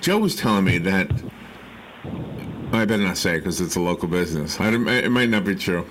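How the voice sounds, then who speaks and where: A man's voice: low-pitched, animated, fairly quick, fairly bright tone. An American man hosting a casual radio chat, questioning and thinking aloud as he talks. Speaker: radio host. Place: radio studio. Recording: faint background noise.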